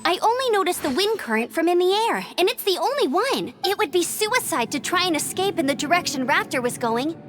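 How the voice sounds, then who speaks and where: Brave young female scout, chirpy, earnest, very high, lively intonation, video game acting, music behind. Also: fairly quick, hardly any pauses. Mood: confident.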